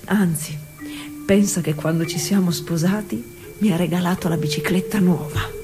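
A woman's voice: fairly low pitch, moderate pace, over music, natural voice. An actress playing a feisty, devout working-class woman cyclist, confiding in Jesus in a colloquial stage monologue, repeating words insistently. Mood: wry, bitter. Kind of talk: theatrical monologue, intimate and colloquial.

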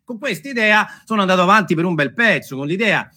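A man's voice: sits high, animated, quick pace, bright, forceful tone. A man, telling a story as a theatrical monologue in a playful, conversational way. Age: 40s. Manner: conversational, playful, mimics sounds with the mouth.

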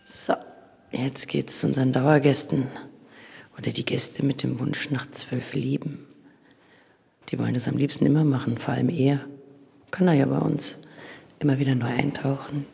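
A woman's voice: very deep voice, fairly even intonation, moderate pace, gravelly, pauses often, warm tone, breathy edge. A woman in the role of a hotel chambermaid, narrating and chatting casually on location. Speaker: hotel chambermaid guiding a project. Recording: faint background noise.

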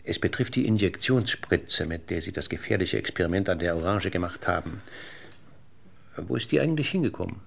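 An actor playing a courteous, measured, persistently probing police inspector in a 1960s German radio play. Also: male voice, sits low, expressive, vocal fry. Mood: polite probing. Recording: noisy 1960s radio recording, clicks.

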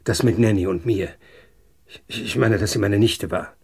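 An actor playing a nervous, apologetic older uncle in a German radio drama, husky and hesitant, stammering defensively. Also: male voice, fairly low pitch, normal speed, a little mellow. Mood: startled, defensive.

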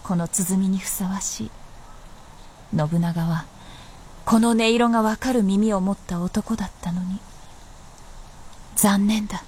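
An actress playing a proud, world-weary princess of feudal Japan in a radio drama, speaking in a lordly, knowing, archaic way. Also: female voice, medium pitch, fairly even intonation, a little mellow, a little hiss or hum, natural voice. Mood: grave, wistful. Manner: lordly, archaic masculine phrasing, measured and knowing. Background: music.

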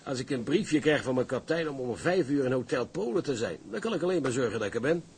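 A seasoned, pessimistic ship's officer acted by a man speaks at a medium pitch. His delivery is dry and sardonic, in radio-drama style with clear ambient noise behind. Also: expressive, quick pace, a little mellow, natural voice. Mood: dry, wary.

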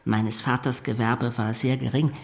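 An actor in a German radio drama playing a fawning young law clerk, falsely humble, with a servile, oily manner. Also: male voice, medium pitch, steady tone, normal speed, gravelly, natural voice. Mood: cringing humility. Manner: servile, self-abasing, oily.

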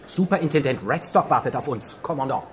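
A man's voice: mid-range voice, expressive, brisk, lightly acted. A man in a radio drama playing an earnest junior police officer.

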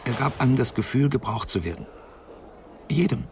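Ein Schauspieler spielt in einem deutschen Krimihörspiel einen bedrückten jungen Medizinstudenten, der stockend und gedämpft berichtet. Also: male voice, medium pitch, expressive, a little hiss or hum, lightly acted. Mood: wistful. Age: young adult. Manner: halting, subdued, confessional. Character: troubled young male medical student, devoted to his flatmate. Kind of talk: German radio crime drama acting.

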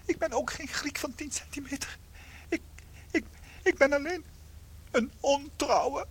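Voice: high-pitched, measured pace. An actor in a radio play voicing a tiny shrunken husband, plaintive and melodramatic, with a small strained voice. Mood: despairing, ashamed. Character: tiny ten-centimetre shrunken husband, anguished, ashamed and clingingly devoted.

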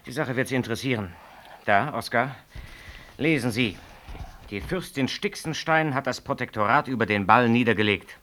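An outspoken doctor, played by a man in a radio drama. His mid-pitched voice is insistent. He argues with combative emphasis. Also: normal speed, fairly bright tone, slight background noise, lightly acted. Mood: urgent. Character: combative, outspoken male doctor, loyal colleague. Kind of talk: 1960s German-language radio play acting.

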